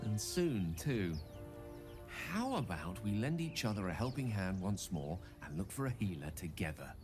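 A man's voice: lowish voice, big pitch swings, normal speed, over music. An actor playing a courteous, wordy middle-aged male wizard in a video game, speaking in formal, theatrical phrasing. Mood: eloquent.

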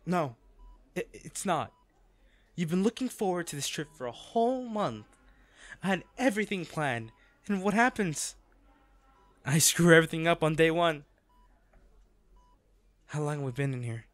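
A man playing a devoted, teasing and self-blaming boyfriend in a romantic audio roleplay, speaking haltingly and tenderly. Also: a little high, sing-song, no room sound. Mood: frustrated, upset. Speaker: voice actor.

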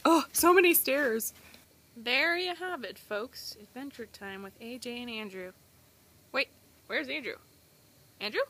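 A young woman filming a casual road trip vlog, teasing and excitable, recorded on a handheld phone outdoors and in a car. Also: a little high, a little slow, long pauses. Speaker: vlogger. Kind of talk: casual travel vlog chat.

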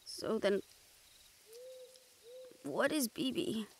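A woman's voice: on the high side, big pitch swings, drawn-out words, darkish tone, a little hiss or hum, exaggerated voice. A woman playing a brash, cursing young woman. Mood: excited. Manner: swears freely, brash and eager. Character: brash, foul-mouthed young woman, curious and protective. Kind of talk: audio roleplay acting.

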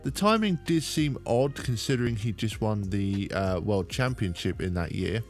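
A man hosting a sports video, giving a measured, explanatory scripted narration into a close microphone. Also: fairly low pitch, expressive. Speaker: host of a bowling video channel. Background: background music.

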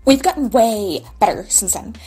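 An actress playing an excitable, boisterous young huntress girl with a husky voice in an audio drama. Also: on the high side, moderate pace, soft background music. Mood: boisterous challenge.

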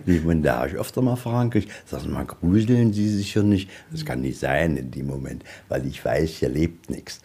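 A man's voice: rumbling low, animated, moderate pace, hardly any pauses, dark tone, slightly rough. A man working as a guide, telling anecdotes in a rambling, matter-of-fact chat. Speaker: museum guide.

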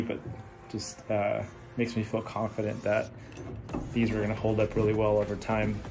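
Man, 30s, lowish voice, relaxed workshop vlogger, earbud mic, music under. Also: creaky. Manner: relaxed, thinking aloud with many fillers. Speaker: woodworker vlogger. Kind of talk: casual workshop vlog. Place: home woodworking shop, indoors.

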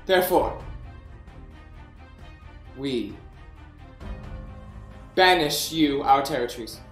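A proud young king who measures out every line of verse slowly. The delivery is regal and stately. It is picked up by a webcam in a room with noticeable echo. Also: mid-range voice, expressive, a bit bright, faint music behind. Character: proud young male king passing judgment. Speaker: actor. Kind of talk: staged Shakespeare reading. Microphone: webcam on a video call. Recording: echoey room.

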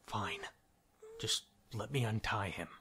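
A man playing a weary, desperate captive doctor and worried father in an audio drama, urgent and halting. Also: fairly low pitch, vocal fry, noisy background. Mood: defeated, resigned.

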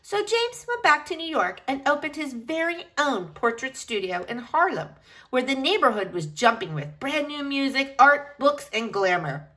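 Animated woman reading a children's picture book. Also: high voice, normal speed. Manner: animated, addresses young listeners directly. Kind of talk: expressive picture-book read-aloud for children.

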